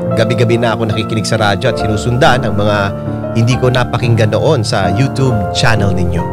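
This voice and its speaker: A man narrating a listener's letter for a radio drama, in a reflective, expressive scripted style with drawn-out phrases. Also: medium pitch. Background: prominent piano music.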